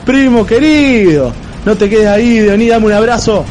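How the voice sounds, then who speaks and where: A man's voice: sits high, moderate pace, a little mellow, a little hiss or hum, lightly acted. A man playing an effusive, shrewd army captain in a radio drama, with a theatrical manner. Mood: effusive, joyful.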